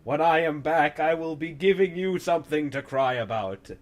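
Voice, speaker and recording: A man's voice: on the high side, normal speed, lightly acted. A man voicing a cocky, macho cartoon cat hero who swaggers through one-liners in a parody story. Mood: cool.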